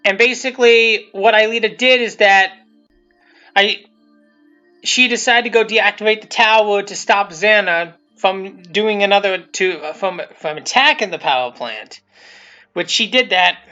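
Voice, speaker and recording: A man's voice: high voice, measured pace, sharp tone. A man giving casual, unscripted commentary as the creator of a video series, rambling and repeating himself. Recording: muffled lo-fi mic, music behind.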